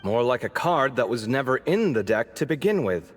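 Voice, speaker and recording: A man's voice: medium pitch, sing-song, moderate pace, slight background noise, natural voice. A man playing a courteous, placating companion in a video game, with a reverberant recording. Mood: wry. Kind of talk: video game character acting.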